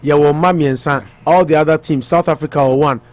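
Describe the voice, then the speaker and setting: A man's voice: a little high, fairly even intonation, fairly quick, darkish tone. A man with a Ghanaian accent hosting a morning radio show, chatting about sport and mixing Twi with English. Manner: mixes Twi and English, hesitant with fillers. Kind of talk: casual sports talk on radio.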